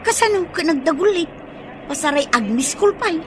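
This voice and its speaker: An aloof young woman with an Ilocano accent in a radio drama. Her voice is high and snappy, with faint traffic-like noise in the background. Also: lively intonation, moderate pace. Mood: annoyed.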